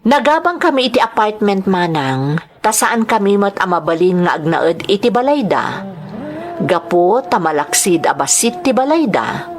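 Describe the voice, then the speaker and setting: A woman's voice: medium pitch, big pitch swings, moderate pace, close to an everyday voice. Woman acting an earnest, distressed young Ilocano wife. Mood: sorrowful, confiding. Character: distressed young married daughter, wronged by her husband. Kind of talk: Ilocano audio drama acting.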